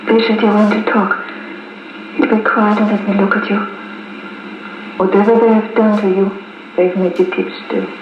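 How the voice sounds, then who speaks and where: A woman's voice: medium pitch, little pitch movement, normal speed, long pauses, natural voice. Actress as an anxious, tender woman, heavily echoing. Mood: tender, distressed. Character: tender, anxious woman at wounded lover's bedside. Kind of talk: 1950s radio drama acting. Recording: muffled, echoey, hissy 1950s wire recording.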